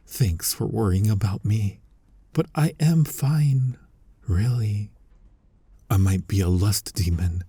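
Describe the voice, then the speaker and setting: A man playing a flirty, tender incubus in an intimate ASMR roleplay, speaking softly and teasingly with a breathy, husky voice. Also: medium pitch, no room sound. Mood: reassuring, teasing. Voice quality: soft, breathy, husky, often near a whisper. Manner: teasing, coaxing, slow and intimate. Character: flirty, tender male incubus lust demon comforting a lover.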